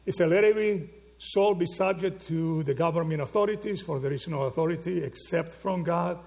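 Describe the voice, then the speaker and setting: A male preacher with a gravelly, creaky edge to his voice. He sits slightly high for a man and works through his points with rhetorical questions, checking in with the congregation again and again. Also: a little fast, darkish tone. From Argentina. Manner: rhetorical questions, frequent "okay?" and "right?" check-ins. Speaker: pastor. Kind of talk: sermon.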